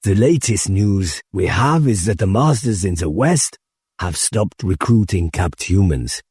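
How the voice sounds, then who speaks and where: A man playing a grave, commanding rebel leader giving a deliberate, formal, resolute speech in an audiobook. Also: deep voice, animated, darkish tone, slightly rough, no room sound, studio quality, heavily acted. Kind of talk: audiobook character oratory.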